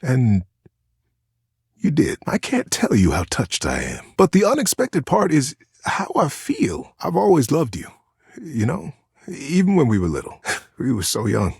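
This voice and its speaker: A man voicing a devoted, sentimental teddy bear in a video game, talking in a gentle, folksy, earnest way with little stammers. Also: medium pitch, huge pitch swings, a little slow, gravelly, breathy edge, no room sound, clean studio sound, heavily acted. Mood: touched, tender. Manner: folksy, earnest, cozy wording with hesitant stammers. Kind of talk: video game character acting.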